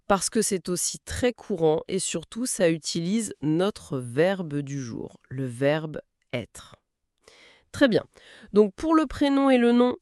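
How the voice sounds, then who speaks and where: A woman teaches in a slow, deliberate way, pronouncing each phrase clearly so listeners can repeat it. Her pitch moves a lot as she speaks. The recording is dry and close. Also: warm tone, no room sound. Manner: slow, clearly articulated, modelling phrases for repetition. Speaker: French teacher. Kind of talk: slow scripted language lesson.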